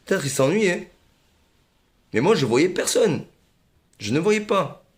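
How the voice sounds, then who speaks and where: A man's voice: high-pitched, big pitch swings, takes it slowly. Rambling chuckling man. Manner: rambling and repetitive, many fillers and chuckles. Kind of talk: rambling monologue.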